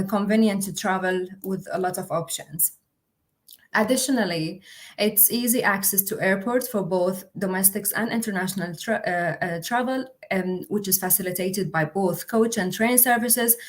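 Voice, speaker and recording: Young woman hosting a uni livestream, lots of ums and restarts, mid pitch. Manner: hesitant, many fillers and restarts. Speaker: international officer. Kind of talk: livestream presentation.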